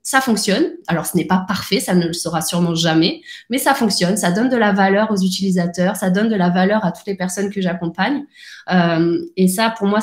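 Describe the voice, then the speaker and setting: A woman in a relaxed interview, talking in quick bursts that she keeps restarting. Her voice sits in an ordinary middle range. The sound carries the echo of a bare room. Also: medium pitch. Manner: fast and animated, many restarts and fillers. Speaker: podcast guest, founder. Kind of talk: casual conversational interview.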